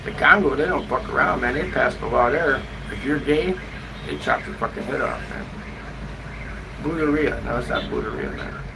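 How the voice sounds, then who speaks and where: Casting: male vlogger, creaky voice, blunt and sweary, loose casual rambling, recorded in a noisy room. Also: mid-range voice, normal speed. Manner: blunt, profane, slangy, often ending phrases with "man". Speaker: travel vlogger giving a live update. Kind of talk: rambling casual live-stream chat. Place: hotel room, indoors. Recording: noisy room with steady background hum.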